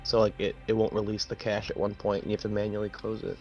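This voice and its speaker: A man with a lowish voice takes part in a tabletop game. He talks casually in halting, hesitant phrases. Soft music is faintly audible behind him. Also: level tone, dull audio.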